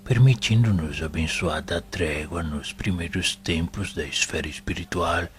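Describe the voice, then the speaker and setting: Music plays under a deep, slow male voice. An actor plays a wise, serene spirit mentor in an audio drama, explaining solemn teachings in measured, formal phrases. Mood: calm, instructive. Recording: music playing behind the voice.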